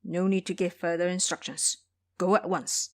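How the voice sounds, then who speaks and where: A woman's voice: lowish voice, little pitch movement, normal speed, darkish tone, natural voice. A woman voicing an emotional, blunt male disciple given to exclamations, in an audio drama. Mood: brisk.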